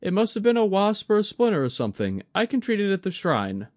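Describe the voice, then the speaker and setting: A man voicing a young woman who keeps a shrine, bright, courteous and eager, in a high put-on voice. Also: wildly swooping pitch, fairly quick, dry recording.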